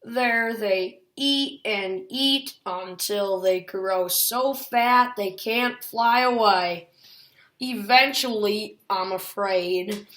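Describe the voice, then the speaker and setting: A woman voicing a gentle male alligator in a storybook, apologetic and halting as he explains himself. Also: medium pitch, reverberant.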